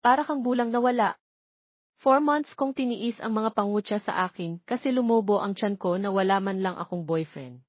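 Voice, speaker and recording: A woman voicing an indignant, tearful, sharp-tongued young pregnant single woman in a dramatized romance story. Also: medium pitch, normal speed, no room sound, dull audio, close to an everyday voice. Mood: tearful, bitter.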